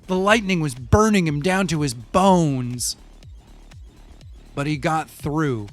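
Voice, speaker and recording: A young male eyewitness played by a man in an audio drama monologue, with a fairly high voice over music. He is rattled and defensive yet wry, confiding his story in loose, profane street talk. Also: expressive, slow pace. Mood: grim, awed. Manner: casual, slangy, profane, confiding. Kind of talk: first-person audio fiction monologue, conversational storytelling.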